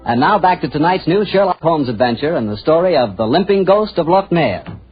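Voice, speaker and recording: A man with an American accent announcing as a 1940s radio sponsor's pitchman, folksy and enthusiastic. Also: animated, fairly quick, music in the background. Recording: muffled old 1940s radio recording.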